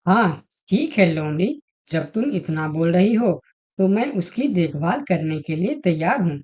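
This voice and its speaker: A woman playing female animals in an animated moral fable, with a persuasive manner. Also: on the low side, a little slow. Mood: earnest. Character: female talking animals, fox and elephant, in a fable. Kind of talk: Hindi animated moral story dialogue.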